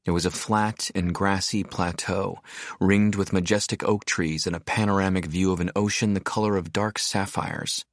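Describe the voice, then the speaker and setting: A man narrating an audiobook in the first person as a reflective architect, in a measured, descriptive storytelling manner. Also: low voice, fairly even intonation, dry recording, clean studio sound. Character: reflective male architect narrating his arrival in a seaside town.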